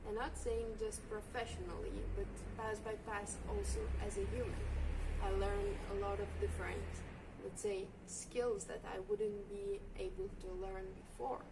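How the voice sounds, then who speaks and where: A woman's voice: medium pitch, steady tone, normal speed. Young woman, distant noisy mic. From Lithuania. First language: Lithuanian. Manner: fluent, thoughtful, non-native phrasing. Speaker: volunteer project coordinator. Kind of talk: reflective interview answers. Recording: distant mic with noticeable background noise.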